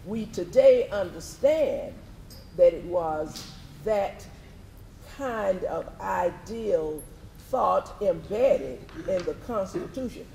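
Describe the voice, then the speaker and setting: A man giving a measured, oratorical staged reading. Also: high voice, animated, vocal fry, dark tone. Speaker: readers theatre performer. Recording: echoey room with background noise.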